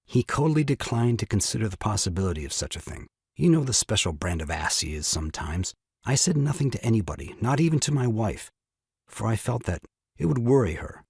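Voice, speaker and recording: A man's voice: fairly low pitch, very animated, a little fast, creaky, a little mellow, slightly rough, no room sound, studio quality, full character voice. An actor playing a self-pitying, exasperated new father in an audio farce, with grandiloquent, melodramatic delivery. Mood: melodramatic woe. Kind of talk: theatrical audio drama farce acting.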